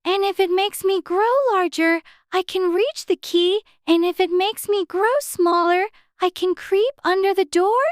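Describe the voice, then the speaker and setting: A woman's voice: steady tone, measured pace, few pauses, no room sound, studio quality. A woman voicing a curious little girl who chatters and exclaims to herself in a dramatized storybook audiobook. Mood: determined. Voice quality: childlike, very high voice. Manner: talks to herself, musing and exclaiming.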